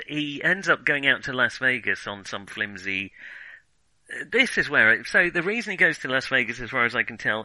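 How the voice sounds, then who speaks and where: A man's voice: mid-range voice, sharp tone, no room sound, rough recording. A man chatting casually as a film podcast host, opinionated and thinking aloud as he reviews.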